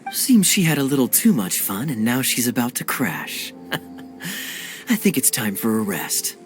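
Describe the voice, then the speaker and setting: A young, suave master thief from a fantasy game. His voice is medium in pitch and animated, with a teasing, smooth manner and faint music behind. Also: measured pace. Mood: amused. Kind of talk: video game cutscene dialogue.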